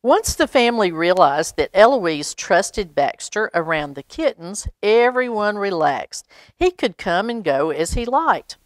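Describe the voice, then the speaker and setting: A woman reads a children's picture book aloud, adding expressive, chatty asides for young listeners. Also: medium pitch, unhurried, dry recording. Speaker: library storytime reader.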